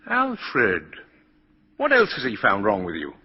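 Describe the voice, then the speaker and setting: An actor playing a kindly, whimsical, principled old man in a 1940s radio drama, speaking with deliberate old-fashioned politeness. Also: fairly low pitch, huge pitch swings, in a large hall, full character voice. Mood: concerned. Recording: muffled, husky-sounding 1940s radio broadcast.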